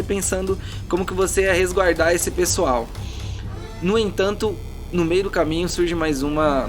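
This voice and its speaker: Slightly high male voice, Brazilian accent, game master, dramatic fantasy narration, informal asides, light music bed. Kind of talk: narrating a tabletop fantasy role-playing game.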